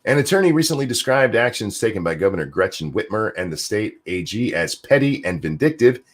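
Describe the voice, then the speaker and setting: A man hosting a podcast, chatting casually and reading news articles aloud with a jokey, animated delivery. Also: lowish voice. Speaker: podcast host.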